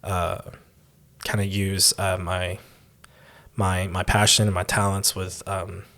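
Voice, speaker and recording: A young man who grew up abroad, answering interview questions as a church intern, with many pauses and restarts. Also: low-pitched, flat delivery, sharp tone. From raised in Taiwan, born in the United States. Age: young adult. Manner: hesitant, many ums and restarts.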